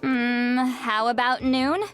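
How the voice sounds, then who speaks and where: A woman's voice: fairly high pitch, level tone, slow, fairly bright tone, soft background music. A woman voicing a haughty, prickly, ageless young-sounding shaman in a video game, with a huffy manner. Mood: aloof, evasive. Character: haughty two-hundred-year-old female shaman who sounds young, prickly. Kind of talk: video game character dialogue.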